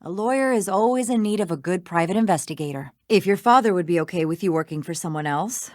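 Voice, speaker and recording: A woman's voice: fairly low pitch, fast, a little mellow, no room sound, clean studio sound, close to an everyday voice. A woman playing a confident, teasing small-town lawyer in a video game, with a wry, self-assured manner. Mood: sly. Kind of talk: scripted video game dialogue.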